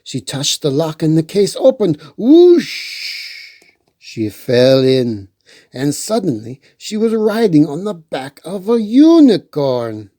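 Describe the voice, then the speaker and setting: A children's storytime host reads a picture book aloud in a soft-edged, mellow tone. The pace is slow and the pitch swings widely, with expressive storytelling and playful little asides. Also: dry recording, studio quality.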